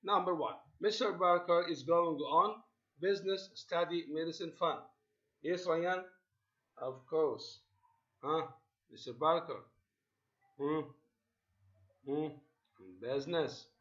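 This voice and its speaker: A man teaching an English class, halting with many fillers and switching between English and Arabic. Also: on the high side, a bit bright. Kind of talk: online classroom lesson. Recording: muffled lo-fi audio.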